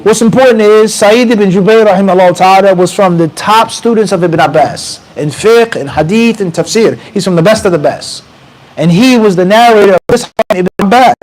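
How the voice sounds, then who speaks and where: Animated high-voiced American mosque teacher. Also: male voice, brisk, slight background noise, poor audio. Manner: animated, conversational, quotes Arabic passages. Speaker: mufti teaching a class. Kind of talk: mosque lecture. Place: small mosque room with bookshelves. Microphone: handheld mic close to mouth.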